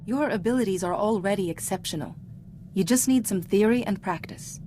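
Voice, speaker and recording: Calm female Jedi mentor for a game, played by a woman: measured, formal, principled, mid pitch, faint ambience behind. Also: a little hiss or hum, natural voice. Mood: serene, instructive. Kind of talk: scripted video game voice acting.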